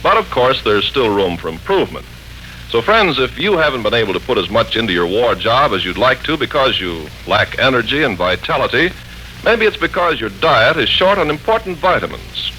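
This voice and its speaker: A man's voice: medium pitch, sing-song, normal speed, bright, forceful tone, slight background noise, poor audio. An American man announcing in 1940s radio style, polished, persuasive and emphatic. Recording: old 1940s radio broadcast recording.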